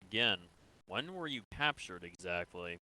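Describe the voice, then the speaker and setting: A man playing a measured military interrogator in a sci-fi game role-play, asking probing, deliberate questions. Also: lowish voice, sing-song, pushed and bright, no room sound, full character voice, poor audio.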